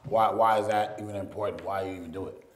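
A co-host with a lowish, easygoing voice chats in loose slang across a studio table. The sound carries a roomy echo. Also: male voice, fast, a little hiss or hum. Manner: laid-back slang, animated when telling stories. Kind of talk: casual interview chat. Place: studio set with brick wall.

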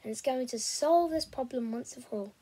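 A woman's voice: high voice, brisk, dark tone, breathy edge. A woman voicing a sly, streetwise schoolboy who talks in a dry, teasing, matter-of-fact way.

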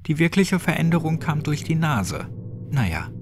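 Man putting on a higher-pitched, scornful, sadistic old man. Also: very animated, moderate pace, music in the background. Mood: smug. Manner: clipped, slangy, mocking. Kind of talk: horror audiobook character voice.

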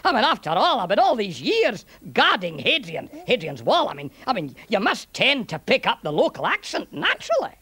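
A man's voice: high voice, wildly swooping pitch, normal speed, few pauses, bright, forceful tone, voice pushed up, heavily acted. A man voicing a small, proud, chuckling Roman centurion with a Scottish accent in a children's puppet comedy. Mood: chatty, confiding. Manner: chatty, chuckling, repeats himself.

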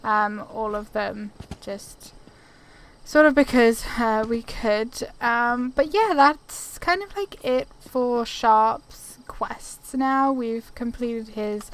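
A woman's voice: on the high side, slow, low-quality recording. A woman giving casual gameplay commentary, easygoing and rambling as she thinks aloud. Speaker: video game player and commentator.

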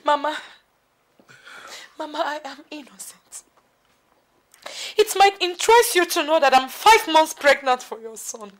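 A high-pitched young daughter-in-law in a film melodrama. She is defiant and insists on being heard. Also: unhurried, pauses often, fairly bright tone. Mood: defiant, pleading. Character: defiant pregnant daughter-in-law protesting innocence. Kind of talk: film drama acting.

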